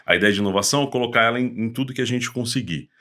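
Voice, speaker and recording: A man's voice: medium pitch, racing, bright, forceful tone. A Brazilian man hosting a casual podcast chat in a playful, joking manner. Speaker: podcast host.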